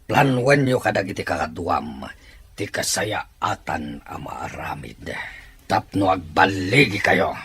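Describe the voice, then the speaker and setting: Radio-drama chief, played by a man: commanding schemer, very husky, unhurried delivery. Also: on the low side, fairly bright tone, exaggerated voice. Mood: stern, forceful. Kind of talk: Filipino radio-style drama acting.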